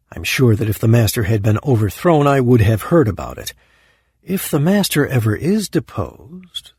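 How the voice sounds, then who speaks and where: A man's voice: medium pitch, darkish tone, slightly rough, no room sound, studio quality. An actor playing a scheming, anxious underling who tells his own story in a first-person audio drama. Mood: resigned, scheming. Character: scheming damned man, anxious, self-pitying, ambitious underling.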